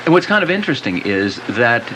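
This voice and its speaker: A man's voice: mid-range voice, big pitch swings, brisk, fairly bright tone. American male radio host, noisy tape. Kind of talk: radio interviewing, conversational. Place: radio studio. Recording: noisy old cassette of radio broadcast.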